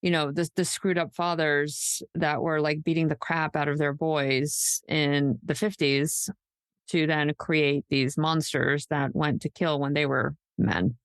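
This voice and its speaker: A woman co-hosting a podcast in casual true crime discussion, thinking out loud in an emphatic, conversational way peppered with "like". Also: sits low, steady tone, measured pace, dry recording, clean studio sound. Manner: conversational, speculative, emphatic, says "like" often. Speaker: podcast co-host.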